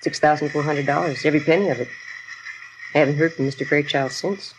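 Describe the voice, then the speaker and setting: A woman's voice: deep voice, steady tone, quick pace, close to an everyday voice. An actress playing a trusting woman shop owner who has been swindled, rambling and bewildered in a 1950s radio crime drama. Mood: dismayed, puzzled. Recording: noisy old radio recording.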